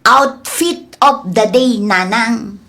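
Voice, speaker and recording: A woman's voice: a little high, very slow, a bit bright, full character voice. A woman playing a plaintive, suspicious young wife in an Ilocano comedy drama, speaking with an Ilocano Filipino accent. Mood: troubled.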